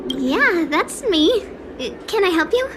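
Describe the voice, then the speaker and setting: A woman voicing a polite, bookish young female shopkeeper in a video game, soft-spoken, hesitant and apologetic. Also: very high voice, sing-song, takes it slowly, noisy background, full character voice. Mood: hesitant, helpful. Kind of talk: video game character dialogue.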